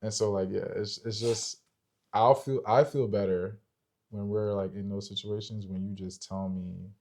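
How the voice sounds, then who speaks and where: Young American man, lowish pitch, dark mellow tone, casual co-host, loosely phrased, conversational. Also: steady tone, moderate pace. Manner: informal, loosely phrased, seeks agreement. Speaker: co-host of a couples' relationship show. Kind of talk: casual chat.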